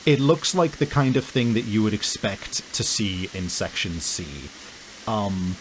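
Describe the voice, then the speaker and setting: A man improvising scene descriptions as a game master, halting as he searches for words. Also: lowish voice, lively intonation, slight background noise, rough recording. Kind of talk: improvised tabletop game narration.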